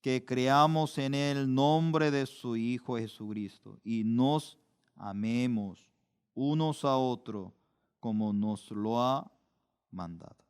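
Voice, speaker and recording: Middle-aged male pastor, unhurried sermon delivery, clean resonant tone, bursts upward on key words. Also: clean tone. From Korea. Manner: slow, deliberate preaching with sudden rises in pitch and volume for emphasis.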